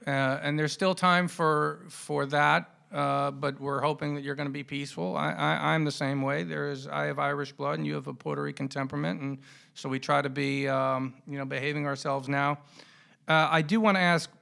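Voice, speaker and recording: A man's voice: fairly high pitch, level tone, normal speed, creaky, sharp tone, clear, resonant tone. A middle-aged man hosting a conversational interview, repeating key words as he puts his questions. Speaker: podcast host and interviewer.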